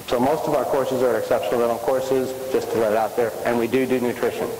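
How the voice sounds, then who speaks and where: A loud, middle-aged man speaks at a conference, chatty and energetic, pausing to quiz the room. His voice carries a long reverb through a big hotel ballroom, with a faint hiss under the sound. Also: mid-range voice. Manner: energetic, conversational, asks the audience questions. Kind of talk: conference lecture. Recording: echoey hall with faint hiss.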